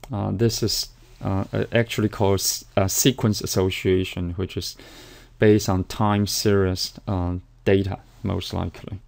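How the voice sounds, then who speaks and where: Hesitant lecturing man, smooth low voice. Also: darkish tone. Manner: hesitant, many fillers and restarts. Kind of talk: recorded slide lecture.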